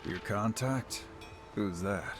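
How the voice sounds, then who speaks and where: A man's voice: fairly low pitch, takes it slowly. A man playing a wary, terse swordsman bodyguard in a video game, guarded and dry. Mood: guarded, irritated. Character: wary, gruff swordsman bodyguard, adult male, on edge. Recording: music behind the voice.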